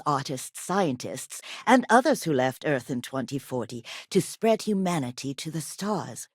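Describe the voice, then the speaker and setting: Expressive, earnest woman historian, low-voiced. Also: dry recording, studio quality. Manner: articulate, earnest, polite. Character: earnest, gracious woman historian and teacher on a colony ship. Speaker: video game character voice actor. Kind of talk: scripted game character dialogue.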